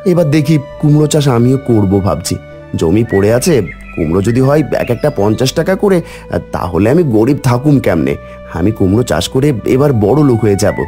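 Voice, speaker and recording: A man's voice: medium pitch, very animated, normal speed, dark tone, faint music behind, close to an everyday voice. A man voicing a poor, honest, devout village farmer in a cartoon, speaking earnestly and emphatically. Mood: friendly, hopeful. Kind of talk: cartoon voice acting.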